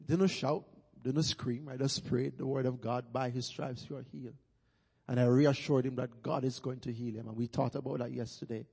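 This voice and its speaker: A man's voice: mid-range voice, steady tone, normal speed, creaky, slightly rough. A man, a pastor, giving an emphatic testimony to a church congregation. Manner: emphatic, builds to loud peaks. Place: church sanctuary. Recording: long reverb of a church hall.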